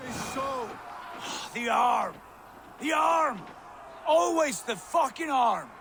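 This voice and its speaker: An actor playing a proud, brooding Viking warrior in a game cutscene, bitter and terse. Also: male voice, very high voice, lively intonation, extremely slow, vocal fry, fairly bright tone, raised voice, slight background noise, exaggerated voice. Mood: furious. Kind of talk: dramatic game cutscene acting.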